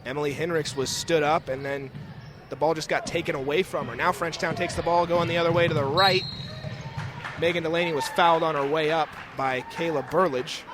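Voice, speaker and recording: A man's voice: on the high side, steady tone, fairly quick. A young man giving live play-by-play commentary of a basketball game as the announcer. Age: young adult. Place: indoor school gymnasium during a game. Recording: noisy gym ambience, bouncing basketballs. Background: game noise, basketball bounces.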